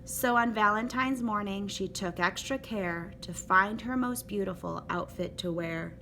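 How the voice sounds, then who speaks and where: A woman reading a children's picture book aloud with an expressive, rhythmic delivery. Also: mid-range voice, unhurried, vocal fry, slight background noise, rough recording. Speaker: storybook reader.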